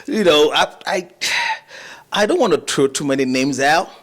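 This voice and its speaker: A man's voice: on the high side, normal speed. Chuckling Nigerian-born male musician guest. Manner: relaxed, chuckling, many fillers and restarts. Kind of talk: casual interview chat.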